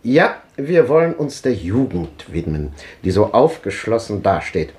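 A man's voice: lowish voice, sing-song, measured pace, darkish tone. An actor playing an ironic, resigned old man who lectures drily, in a 1950s radio drama. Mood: wry.

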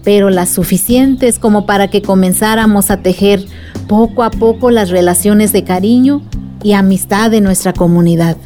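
An actress playing a gentle aunt telling a story to a child in a radio drama. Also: female voice, mid-range voice, moderate pace, soft background music, lightly acted. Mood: gentle, reflective.

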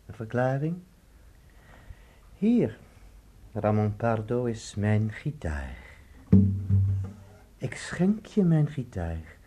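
Slow, dark-voiced menacing man. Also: on the low side, slightly rough, exaggerated voice. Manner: slow, solemn, portentous. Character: menacing, grave, theatrical avenger bestowing a guitar. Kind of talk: radio drama acting. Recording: faint noise and clicks.

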